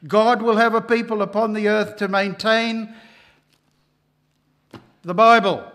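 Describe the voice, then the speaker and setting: Older man preaching emphatically, high voice. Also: flat delivery, slow pace. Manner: emphatic preaching, rising loud on key phrases. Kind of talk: sermon. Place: church hall, at a lectern. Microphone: lectern microphone.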